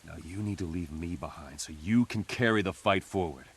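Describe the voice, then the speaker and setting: An actor playing a noble, selfless hero in a video game, speaking in a solemn, measured way. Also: male voice, deep voice, slightly rough. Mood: solemn regret. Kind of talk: video game cutscene acting.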